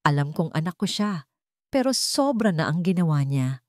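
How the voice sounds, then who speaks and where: A woman's voice: low voice, big pitch swings, moderate pace, no room sound, studio quality. A Filipino woman voicing a stern, resolute wealthy matriarch with a firm, formal manner in an audio story.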